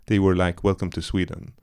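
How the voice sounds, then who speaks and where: Man from Iran, very low voice, smooth tone, cinema Q&A, loose halting talk. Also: darkish tone. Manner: loose, searching, many fillers and restarts. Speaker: film director. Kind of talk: post-screening Q&A talk. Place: cinema auditorium.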